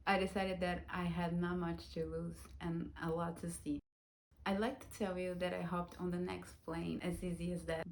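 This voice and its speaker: A woman in her thirties from Brazil, telling her own life story in a reflective, soft-spoken vlog, with Portuguese as her first language. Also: fairly low pitch, slight background noise, low-quality recording. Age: 30s. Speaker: vlogger.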